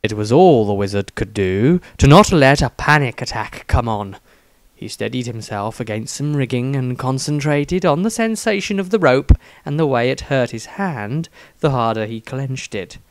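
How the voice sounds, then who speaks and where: A man's voice: mid-range voice, expressive, moderate pace, no room sound. A man narrating a fantasy story expressively, as an author reading his own work.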